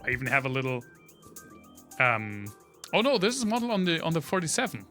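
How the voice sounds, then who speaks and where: A man's voice: on the high side, sing-song, brisk, sharp tone, faint music behind. A man from Germany with a German accent, chatting casually on a livestream while he plays a game, rambling through trivia on a studio condenser mic. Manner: rambling, full of trivia, talks to chat between gameplay reactions. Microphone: studio condenser microphone.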